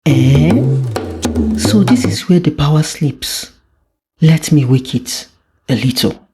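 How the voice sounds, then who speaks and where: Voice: medium pitch, slow pace, over loud music, lightly acted. A storyteller voicing a sly, greedy, envious tortoise who mutters to himself in a folktale. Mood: sly, curious.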